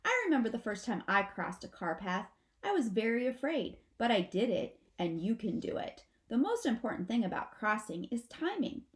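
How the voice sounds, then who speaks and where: A woman's voice: on the high side, very animated. Woman voicing a stern, theatrical mother possum. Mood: patient, reassuring. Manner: commanding, deliberate, stage-director phrasing. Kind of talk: children's storybook character reading.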